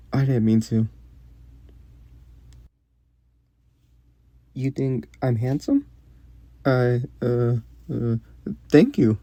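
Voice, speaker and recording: A man playing a polite, gentle young prince in a romantic fantasy audio roleplay, speaking formally and a little hesitantly. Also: mid-range voice, no echo. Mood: flustered, shy. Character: young prince, courteous, gentle, shyly curious royal.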